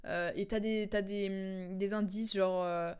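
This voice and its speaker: Rambling woman streamer, medium pitch. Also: fairly even intonation, a little slow, darkish tone, smooth. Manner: hesitant, rambling recap, amused. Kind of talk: casual live commentary on game cutscenes.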